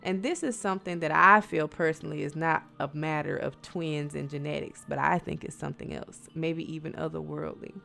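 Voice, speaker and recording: A woman's voice: deep voice, steady tone, brisk, gravelly, hardly any pauses, sharp tone. An American woman storyteller telling eerie bedtime stories in a conversational way, addressing listeners as friends with dramatic emphasis and rhetorical questions. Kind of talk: spooky bedtime storytelling with casual asides. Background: music.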